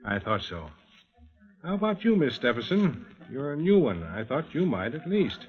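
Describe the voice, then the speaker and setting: A man's voice: a little high, sing-song, slow. A man playing a sardonic, folksy police inspector in 1940s radio drama style, on an old lo-fi recording. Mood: wry, needling. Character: wry, probing New York police homicide inspector.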